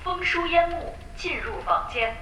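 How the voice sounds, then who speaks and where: A formal game announcer. Her high voice carries melodic swings through echo, with music behind it. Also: animated, moderate pace, background noise. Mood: announcing. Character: formal female online game system announcer. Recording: echoey, distant, music behind.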